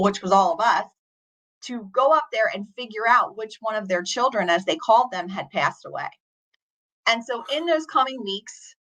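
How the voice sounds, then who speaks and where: Bright-voiced woman telling a story. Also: medium pitch, poor audio. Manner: earnest, steady and reflective. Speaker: teacher and author, podcast guest. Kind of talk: storytelling in an interview.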